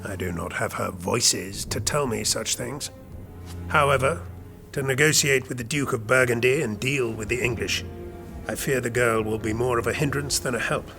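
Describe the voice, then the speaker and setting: A man's voice: mid-range voice, normal speed, bright, forceful tone, faint music behind. A man playing a scheming, disdainful medieval royal chamberlain in an audio drama, with formal, condescending speech. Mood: dismissive. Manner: smooth-worded, condescending, formal. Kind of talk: historical audio drama acting.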